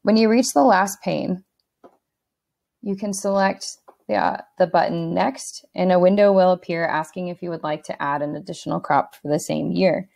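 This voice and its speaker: A woman narrating a screen-recorded software walkthrough in a steady instructional tone, with a few fillers and restarts. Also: fairly low pitch, normal speed, vocal fry.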